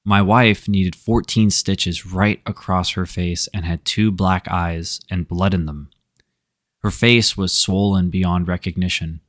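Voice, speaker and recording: A man's voice: low voice, steady tone, slow, no room sound, clean studio sound. A man hosting a storytelling show, reading online stories aloud with wry, sarcastic asides. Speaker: storyteller host.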